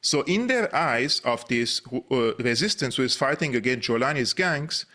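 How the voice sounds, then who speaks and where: A middle-aged man with a Syrian accent speaks as a political commentator, at an ordinary male pitch. His phrasing is emphatic and insistent, often repeating for weight. He is close on a studio microphone. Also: lively intonation, moderate pace, pushed and bright. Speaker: political commentator, interview guest. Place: small indoor studio. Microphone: studio boom mic, close.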